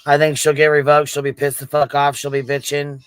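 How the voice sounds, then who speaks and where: Smooth-voiced man, blunt and casual. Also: on the high side, monotone, fairly quick, fairly bright tone, no echo. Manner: blunt, profane. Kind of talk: casual livestream chat.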